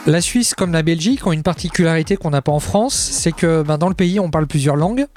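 A man's voice: a little high, fast, darkish tone. A man hosting a radio interview, asking his guest questions in a friendly, conversational way. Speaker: radio host.

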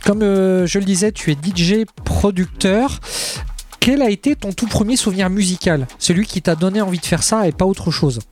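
Man, radio host, high-pitched voice, friendly questions, faint background music. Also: moderate pace, darkish tone. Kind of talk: radio interview.

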